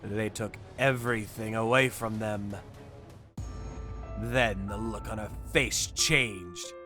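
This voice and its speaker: A male actor voicing a stern, brooding avenger who narrates a manga dub in a deliberate, dramatic way. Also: fairly low pitch, fairly even intonation, over music. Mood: grim, somber. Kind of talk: dramatic scripted first-person narration.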